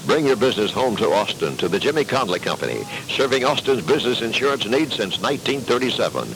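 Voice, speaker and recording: A man's voice: medium pitch, lively intonation, fast. An American man reading a scripted local radio advertisement from the 1980s, heard on a noisy cassette recording.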